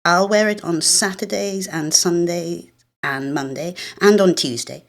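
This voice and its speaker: A woman's voice: lowish voice, unhurried, creaky. A woman acts out a wilful little girl of about seven in a storybook reading. She is the bossy elder sister, commanding and sure of herself as she lays down her plans. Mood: bossy, excited.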